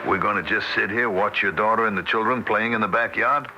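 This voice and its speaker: An actor playing a weary, wry, sympathetic middle-aged commuter in an old-time radio drama, talking conversationally. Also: male voice, fairly low pitch, steady tone, fairly quick, bright, forceful tone, highs cut off, a little hiss or hum. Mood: curious, gentle.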